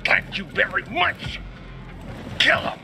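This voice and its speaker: Wildly swooping, jeering raider. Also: male voice, sits high, big pitch swings, slow, music in the background, exaggerated voice. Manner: yelling, jeering. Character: taunting, savage male raider gang member. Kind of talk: video game cutscene dialogue. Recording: clear background vehicle noise.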